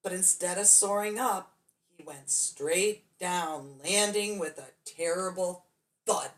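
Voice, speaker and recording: A woman's voice: lowish voice, slow. A woman storyteller reading a children's bedtime story expressively, drawing out key words for dramatic emphasis.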